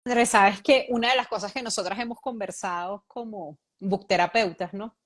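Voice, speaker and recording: A woman hosting a casual conversation, raising her points in a thoughtful, measured way. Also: mid-range voice, steady tone. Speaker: host.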